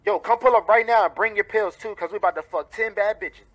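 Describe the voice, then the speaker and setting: A man's voice: high-pitched, quick pace. A man doing comedic, profane voice-over dubs in a slangy, exclamatory way. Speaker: comic voice-over dubber. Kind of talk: comedic profane dub skits. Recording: noisy homemade dub recording.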